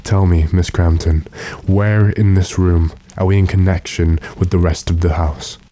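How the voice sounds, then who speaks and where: A man performs a resolute gentleman vampire hunter from an old radio horror play. His voice is very low and mellow, with a deliberate, authoritative delivery that takes command of everyone around him. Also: steady tone. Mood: calm, probing. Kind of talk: classic radio horror drama acting.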